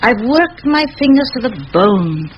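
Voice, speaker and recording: Radio drama: middle-aged schoolteacher, genteel, brisk, wily, lively intonation, dull noisy vintage sound. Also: female voice, fairly high pitch. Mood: feigned meekness. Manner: theatrical, genteel, flattering. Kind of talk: old radio play acting.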